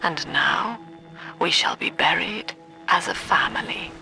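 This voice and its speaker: An actress playing a cold, cerebral middle-aged cult leader and mother in a video game, speaking in measured, solemn, precise oratory. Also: fairly low pitch, vocal fry, pushed and bright, soft background music, exaggerated voice, poor audio. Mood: accusing, grim. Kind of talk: dramatic video game monologue.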